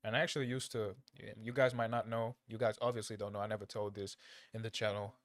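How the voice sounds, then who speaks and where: A man with a fairly deep voice and a close, dry sound, reacting off the cuff. He is a native Portuguese speaker from Angola, raised in Portugal, and talks bluntly in slangy, halting phrases that he often repeats. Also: flat delivery, dry recording. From Angola, grew up in Portugal. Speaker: reaction video creator. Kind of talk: casual unscripted reaction commentary.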